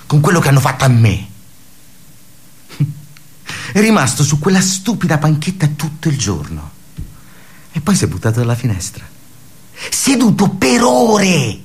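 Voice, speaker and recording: An actor playing a brooding, darkly ironic office worker in a radio theatre monologue, halting and conversational with emphatic bursts. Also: male voice, fairly high pitch, slightly rough, full character voice. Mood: bitter, agitated.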